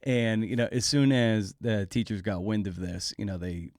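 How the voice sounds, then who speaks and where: Low-voiced, informal male podcast host. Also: normal speed, darkish tone, no room sound, clean studio sound. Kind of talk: conversational interviewing with personal anecdotes.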